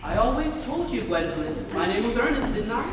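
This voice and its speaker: An earnest, excitable young Victorian gentleman acted out by a man speaks theatrically with wide swings of pitch. The stage comedy reading rings around a reverberant hall, with clear background noise. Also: a little fast. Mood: triumphant. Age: young adult. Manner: theatrical, exclamatory. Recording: echoey hall from far off, noisy.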